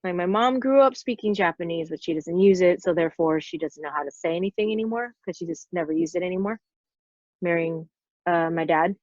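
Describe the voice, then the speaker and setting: A woman's voice: fairly low pitch, a little fast, darkish tone, no echo. A woman in her forties, a guest on a podcast, chatting casually and rambling through her stories with plenty of "like" fillers. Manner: rambling storyteller, lots of "like" fillers. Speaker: podcast guest, stunt performer. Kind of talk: casual interview chat. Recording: dull, dry lo-fi sound.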